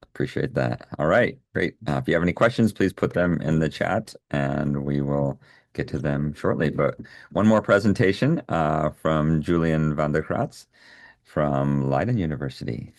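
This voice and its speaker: Very deep, gravelly moderator, a man. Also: moderate pace, a little mellow, no room sound, studio quality. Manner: brief, upbeat. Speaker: session moderator.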